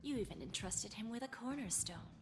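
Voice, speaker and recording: A woman playing a composed, shrewd, elegant businesswoman in a video game, speaking in a measured, cryptic, teasing way. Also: medium pitch, lively intonation, lightly acted. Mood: poised, calculating. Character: composed, shrewd, elegant older-sister businesswoman, coolly calculating. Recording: game audio with prominent background music.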